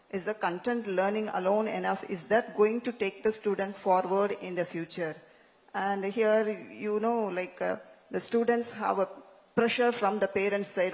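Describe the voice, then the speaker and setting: Earnest Indian woman in a hall. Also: mid-range voice. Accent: Indian English. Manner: formal and earnest. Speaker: panelist. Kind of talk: formal panel remarks. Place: large conference hall. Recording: reverberant hall.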